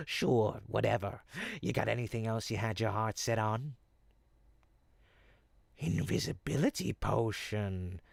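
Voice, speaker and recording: A performer voicing a sly, smooth-talking goblin potion peddler in an audio roleplay, with folksy, teasing salesman patter. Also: mid-range voice, sing-song, slow pace, pauses often, no room sound, exaggerated voice. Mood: teasing, wheedling. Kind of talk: audio roleplay, in-character monologue to listener.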